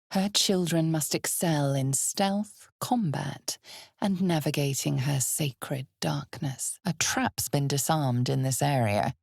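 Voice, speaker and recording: An ominous female storyteller for a dark fantasy video game. Her voice is low and husky, with a breathy edge. She narrates slowly and deliberately, with knowing, dramatic weight. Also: dry recording, studio quality, exaggerated voice. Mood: solemn, knowing. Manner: measured, deliberate, dramatic, often dropping toward a breathy whisper.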